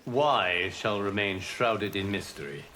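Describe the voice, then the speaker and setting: An actor playing a solemn fire god and guardian in a video game, speaking in a measured, formal, cryptic way. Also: male voice, on the low side, animated, bright, forceful tone, a little hiss or hum, heavily acted.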